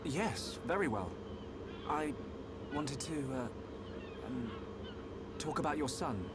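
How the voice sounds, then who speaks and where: A determined teenage hero in a game cutscene. His voice sits a little high, sincere and polite, over loud ambient noise. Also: expressive, slow. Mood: subdued, polite. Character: earnest, caring, determined teenage boy hero. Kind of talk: video game cutscene acting.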